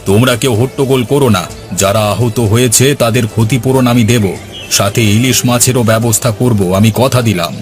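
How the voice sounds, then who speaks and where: A man's voice: medium pitch, normal speed, close to an everyday voice. A man playing a commanding, folksy village headman in a cartoon, with music behind his voice. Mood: placating. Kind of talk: cartoon dialogue.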